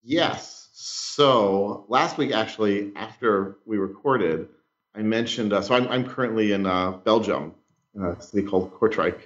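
Low-voiced man, self-deprecating podcast chat. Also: measured pace, a bit bright, muffled recording. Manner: self-deprecating, thoughtful storytelling. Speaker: podcast co-host.

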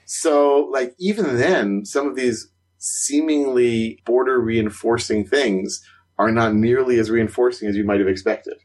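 A man co-hosting a podcast in casual conversation, animated and quick to laugh, his voice climbing when something surprises him. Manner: animated, rising pitch on surprising points, laughs while talking. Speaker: podcast co-host, consultant.

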